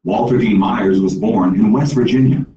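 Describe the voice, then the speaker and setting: Male storybook reader, mellow tone, recorded at a distance in a roomy space. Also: fairly low pitch, normal speed. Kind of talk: scripted story read-aloud. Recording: echoey distant room, dull, faint noise.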